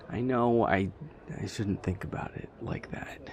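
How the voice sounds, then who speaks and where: A man's voice: fairly low pitch, steady tone, slow pace, crowd noise. A man playing an anxious, self-deprecating vampire boyfriend in an intimate roleplay audio, stammering and rambling, often dropping to a murmur. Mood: embarrassed, sheepish. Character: nervous, self-deprecating immortal male vampire boyfriend, affectionate.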